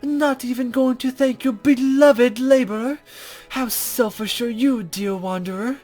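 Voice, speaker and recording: A man's voice: very high-pitched, fairly even intonation, unhurried, a little mellow, soft background music, pitched above the performer's own voice. A man voicing a coy, teasing woman rescuer in a visual novel, with playfully doting, formal, flowery speech.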